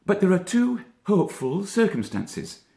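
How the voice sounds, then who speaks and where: Man as a pompous, high-voiced young rabbit. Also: very animated, a little slow, creaky, warm tone, roomy sound, exaggerated voice. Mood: reflective, pompous. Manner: reflective, wordy, self-important. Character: level-headed, pompous young male rabbit cousin. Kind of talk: children's storybook character voice.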